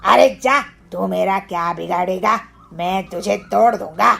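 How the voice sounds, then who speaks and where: A woman voicing a bullying male shopkeeper in a cartoon story, menacing and spiteful, with drawn-out threats. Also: medium pitch, gravelly, full character voice. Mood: defiant. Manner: threatening, drawn-out shouted warnings.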